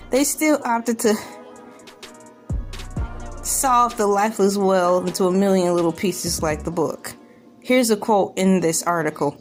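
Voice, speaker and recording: A woman reading news aloud as a humorous online creator, dropping in sarcastic, joking asides with theatrical, drawn-out emphasis. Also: mid-range voice, darkish tone. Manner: sarcastic, joking asides between read lines. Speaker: online video creator reacting to a news article. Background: background music.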